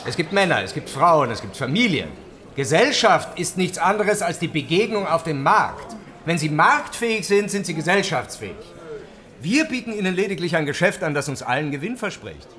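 An actor playing a slick, cold businessman in a radio play, brisk and dismissive as he persuades. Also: a little high, very animated, pushed and bright. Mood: cold, persuasive. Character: slick, cold male businessman pushing a privatisation deal. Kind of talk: German radio play acting.

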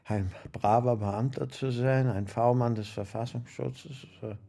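An actor playing a world-weary, stubborn older uncle in a radio drama, husky and melodramatic in a brooding way. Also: male voice, mid-range voice, fairly even intonation, measured pace, dark tone. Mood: solemn, resolute. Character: world-weary ailing uncle, stubborn, quixotic civil servant.

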